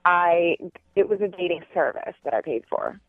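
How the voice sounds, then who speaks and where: A woman's voice: fairly low pitch, lively intonation, moderate pace, soft background music. Woman caller on a tinny phone line. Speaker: phone-in guest on a radio show. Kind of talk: casual personal account. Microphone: telephone.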